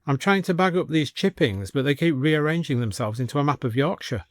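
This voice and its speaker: A man's voice: fairly high pitch, animated, fairly quick, no echo, clean studio sound, voice pushed up, natural voice. A man voicing a polite, flustered male garden centre owner in a story. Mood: bemused.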